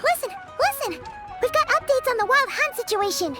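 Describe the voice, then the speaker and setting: A woman voicing a small, excitable, childlike fairy companion with a squeaky, exclamatory delivery in a video game. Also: moderate pace. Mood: excited. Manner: exclamatory, animated. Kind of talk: video game cutscene voice acting. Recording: music behind the voice.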